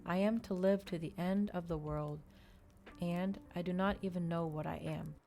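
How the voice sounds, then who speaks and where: Woman acting anguished vampire man, brooding, intense, low voice, gothic storybook reading. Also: steady tone, normal speed, creaky, mellow, slight background noise.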